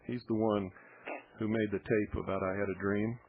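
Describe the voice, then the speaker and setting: A man's voice: lowish voice, little pitch movement, moderate pace, a little hiss or hum. A man hosting a call-in radio talk show, speaking bluntly and growing heated. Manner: blunt, heated when riled. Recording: tinny shortwave radio broadcast.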